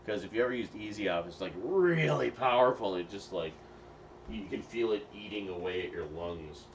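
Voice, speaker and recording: A man with a very low voice talks in a relaxed, rambling way while he cleans his kitchen. He chats with his viewers and fills the gaps with loose fillers. Steady background noise sits under the home recording. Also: moderate pace, far from the mic. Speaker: streamer cooking and cleaning. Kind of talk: casual live-stream chat.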